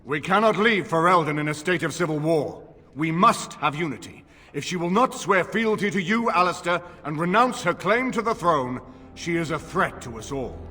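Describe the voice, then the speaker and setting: Casting: dignified, commanding noble arbiter for fantasy game, stern and formal, ringing bright delivery, reverberant hall. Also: male voice, on the high side, lively intonation, normal speed. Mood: grave, commanding. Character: stern, dignified nobleman presiding over an assembly. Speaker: actor in a fantasy role-playing game. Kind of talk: dramatic game cutscene dialogue. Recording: long hall reverb, music underneath.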